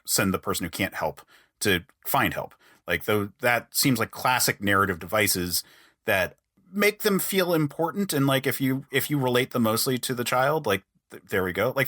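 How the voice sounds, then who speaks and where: A man hosting a podcast, talking analytically and casually, halting often and peppering his sentences with "like". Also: lowish voice, sharp tone, dry recording, clean studio sound.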